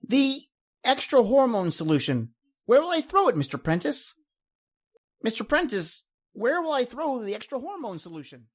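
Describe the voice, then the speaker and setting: Casting: woman playing a puzzled young lad in radio horror, earnest, hesitant, highish voice with lively swings. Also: moderate pace. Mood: dutifully questioning. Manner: hesitant, repetitive, puzzled questioning. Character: earnest, muddled young boy helper at a rose grower's. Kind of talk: old-time radio horror acting.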